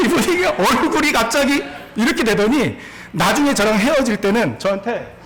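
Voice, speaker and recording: A pastor in his forties, a man preaching a sermon. His voice sits high and swings up and down a great deal. He tells his story with laughter and keeps asking the congregation questions. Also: animated, moderate pace, a bit bright. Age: 40s.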